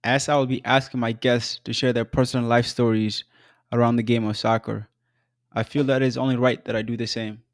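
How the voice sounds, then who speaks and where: A young man from South Sudan hosting his own podcast, narrating his story in a measured, earnest way with an occasional um. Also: medium pitch, flat delivery, soft background music. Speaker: podcast host. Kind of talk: scripted solo podcast narration.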